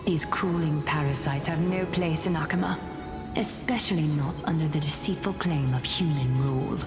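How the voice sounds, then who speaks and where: Haughty noble lady , female actor, video game. Cold, measured, devout, on the low side. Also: over music, lightly acted. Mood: icy disdain. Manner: icy, imperious, measured.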